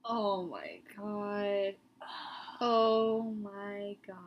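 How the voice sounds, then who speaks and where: A young woman co-hosting a casual chat podcast, animated and emphatic, laughing often. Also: mid-range voice, drawn-out words, muffled recording. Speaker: podcast co-host.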